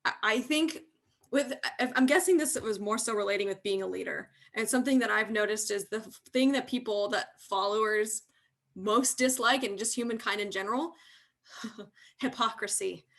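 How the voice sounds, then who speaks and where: A woman's voice: fairly high pitch, moderate pace, fairly bright tone. A woman teacher on a casual panel who speaks hesitantly and searches for her words.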